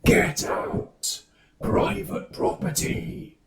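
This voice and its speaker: A man voicing a frenzied, aggressive man who bounds like a beast, yelling in a hoarse growl in a tabletop game. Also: drawn-out words, over a noisy crowd.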